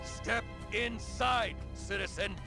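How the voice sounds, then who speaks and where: A man's voice: high-pitched, slow pace. An actor playing a pushy man herding citizens along, in a video game cutscene. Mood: insistent. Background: music.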